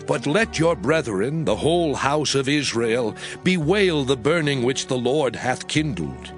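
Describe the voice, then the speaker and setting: A commanding prophet and leader acted by a man delivers scripture drama with solemn, declamatory weight. His pitch swings widely as he gives grave orders, with faint music beneath. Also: big pitch swings, measured pace. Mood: grave, stern.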